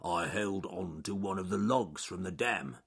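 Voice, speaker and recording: A man voicing a big, strong, clever male bear who speaks slowly and carefully. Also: deep voice, gravelly, a bit bright, breathy edge, heavily acted. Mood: pleased. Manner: slow and careful speech.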